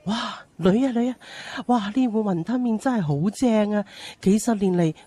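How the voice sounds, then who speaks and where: A woman's voice: mid-range voice, moderate pace, mellow, natural voice. A woman playing an elated older parent in a Cantonese radio drama. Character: elated older parent savouring a familiar meal.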